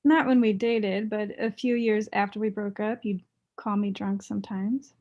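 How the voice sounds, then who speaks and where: A woman playing a blunt, resentful young ex-girlfriend with a deadpan, pressing manner, in a comedy table read. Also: mid-range voice, normal speed, muffled recording, lightly acted. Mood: guarded, dry.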